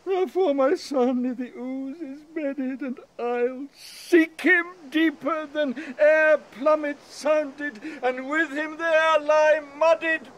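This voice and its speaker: A grieving, guilty noble father, played by a man, declaims Shakespearean verse in anguish. His voice is very high and drawn out, over faint background noise. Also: slow, few pauses, a bit bright, exaggerated voice. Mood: horrified, grieving. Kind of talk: Shakespearean verse acting in an audio play.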